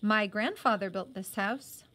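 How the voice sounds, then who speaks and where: A woman's voice: mid-range voice, unhurried, bright, forceful tone. A woman voicing a solemn, resolute orphaned teenage boy in a storybook, speaking in an earnest, declarative way. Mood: wistful.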